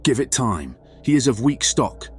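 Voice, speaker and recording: A man playing a self-interested vampire councilman who speaks in a measured, formal, persuasive way. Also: mid-range voice, sing-song, a little mellow, natural voice. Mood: smoothly persuasive. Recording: dry recording over music.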